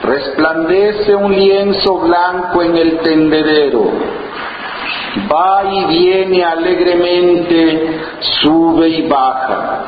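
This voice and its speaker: A man from Oaxaca whose first language is Zapotec, a poet who tells his story haltingly, with many fillers and restarts, and reads his own poems. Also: sits high, very echoey, big-room sound, highs cut off, a little hiss or hum. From Juchitán, Oaxaca, Mexico. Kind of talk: slow reflective interview and poetry reading.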